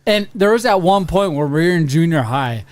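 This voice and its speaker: A man in his thirties with an American accent, a podcast host telling a profane, animated story in casual chat. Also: on the high side, a little fast, no echo. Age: 30s. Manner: profane, animated storyteller who acts out quoted voices.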